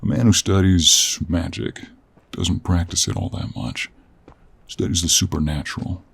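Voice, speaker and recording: A man playing a gruff, teasing cowboy monster hunter in an intimate audio roleplay, with a gravelly, husky voice and an American Western drawl. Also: deep voice, a bit bright, heavily acted. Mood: matter-of-fact, wry. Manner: slow, teasing drawl with folksy endearments.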